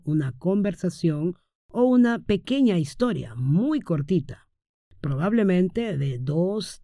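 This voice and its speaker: A woman's voice: deep voice, warm tone, dry recording, clean studio sound. A woman teaching Spanish to learners, speaking patiently and talking directly to the listener. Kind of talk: slow, clear Spanish lesson for learners.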